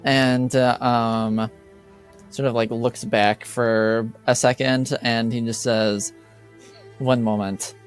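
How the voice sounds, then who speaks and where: Smooth, slow-paced male game master. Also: medium pitch, level tone, faint music behind. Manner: hesitant, improvising with pauses. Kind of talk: narrating a tabletop game.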